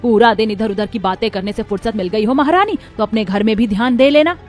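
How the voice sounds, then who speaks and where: A woman's voice: fairly high pitch, fast, faint music behind, close to an everyday voice. A woman voicing a harsh, sarcastic, bullying middle-aged mother-in-law in a cartoon story. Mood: sarcastic, angry.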